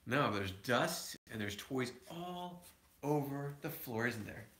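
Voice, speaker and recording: A father reads a picture book aloud to his children. He takes his time, adds chatty asides, and his bright voice carries in an echoey room. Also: medium pitch, expressive, slow, a little hiss or hum.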